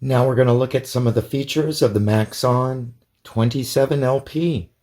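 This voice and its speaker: A man's voice: mid-range voice, moderate pace, a little mellow. A man giving a casual hands-on product demonstration in a plain, methodical, step-by-step way. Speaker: product reviewer.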